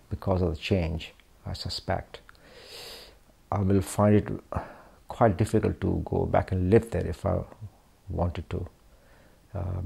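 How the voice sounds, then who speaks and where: A middle-aged man from Pakistan, a surgeon speaking hesitantly and reflectively with many pauses in a documentary interview. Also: low voice, gravelly, warm tone. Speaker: hand surgeon.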